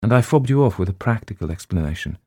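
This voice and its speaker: A man voicing a brisk, matter-of-fact middle-aged female schoolteacher in a read story. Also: lowish voice, animated, darkish tone, slightly rough, no room sound, studio quality, pitched above the performer's own voice.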